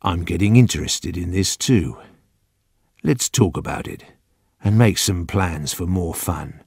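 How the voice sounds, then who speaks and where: A man voicing a calm, cunning, commanding old man with slow, deliberate speech in an audiobook story. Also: fairly low pitch, creaky, dry recording, clean studio sound. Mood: gentle, coaxing.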